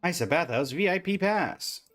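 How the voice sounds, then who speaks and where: A woman's voice: fairly low pitch, expressive, moderate pace, fairly bright tone. A woman streamer chatting casually during a game in a laid-back way. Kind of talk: casual livestream chat. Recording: faint game music behind voice. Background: game music.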